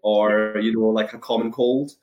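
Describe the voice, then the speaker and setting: A man with a Scottish accent explaining things thoughtfully on a webinar, with measured, hesitant phrasing. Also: on the low side, level tone, highs cut off. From Scotland. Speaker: guest, anaesthesiology and intensive care resident. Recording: echoey webinar call.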